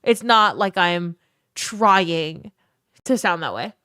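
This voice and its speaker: Chatty woman streamer, smooth and low, slight fry, rambles and thanks chat by name. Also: expressive, slow, pushed and bright, no room sound, studio quality. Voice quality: slight vocal fry at phrase ends. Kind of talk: casual live-stream chat.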